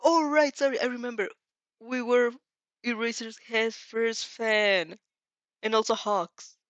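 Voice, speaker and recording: A woman's voice: a little high, steady tone, measured pace, no echo, highs cut off. A woman hosting a casual fanfiction read-aloud, hesitant and giggly, often correcting herself as she goes. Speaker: host of fanfiction reading show.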